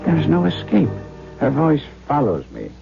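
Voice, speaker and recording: An exasperated doctor, wildly swooping pitch. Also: male voice, wildly swooping pitch, a little slow, creaky, over music, heavily acted. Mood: harried narration. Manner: theatrical, emphatic, brusque. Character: impatient German doctor, harried and exasperated, narrating his story. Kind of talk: 1970s radio drama acting, narration and dialogue. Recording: dull, noisy 1970s radio drama recording.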